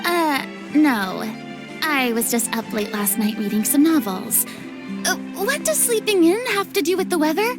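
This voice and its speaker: A woman playing a theatrical, easily flustered young lady in a video game, with an expressive manner. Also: sits high, unhurried. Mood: flustered, casual. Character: dramatic young woman, former idol, flustered, theatrical, sweet-toothed. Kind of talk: scripted video game dialogue. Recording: music behind the voice.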